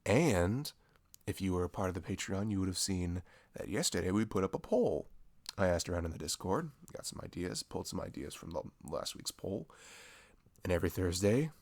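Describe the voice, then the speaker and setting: Low-voiced man, chatty, with creaky fry. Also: darkish tone, no room sound. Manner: chatty, informal. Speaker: audio creator introducing a show. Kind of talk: casual channel announcement.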